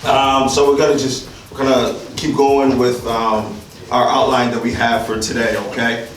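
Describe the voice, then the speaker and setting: A man's voice: medium pitch, level tone, moderate pace, a little hiss or hum. A man from Liberia in his thirties presenting an informal real estate workshop, talking conversationally with lots of "right?" and "um". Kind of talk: informal seminar talk. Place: room in a house, with a seated audience. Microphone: far camera. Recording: echoey room, picked up from a distance.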